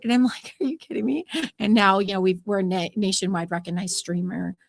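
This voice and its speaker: A woman's voice: medium pitch, fairly even intonation, brisk, vocal fry, no room sound, studio quality. A woman hosting a podcast interview, chatty and upbeat with a soothing voice and easy laughter. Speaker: podcast host.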